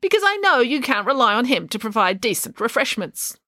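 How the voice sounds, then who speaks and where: Elderly female relative, miserly and blunt, scornful delivery, animated intonation, dry recording; a woman reader acting it out. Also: fairly high pitch, a little fast, no echo, pitched above the performer's own voice. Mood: matter-of-fact. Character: blunt, miserly, hard-of-hearing old great-aunt.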